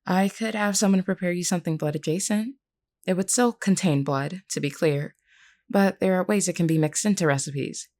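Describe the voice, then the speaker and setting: A woman voicing a gentle, candid vampire queen in an audio roleplay, speaking in a measured, reassuring way with formal wording to one listener. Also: fairly low pitch, close to an everyday voice. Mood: warm, wry.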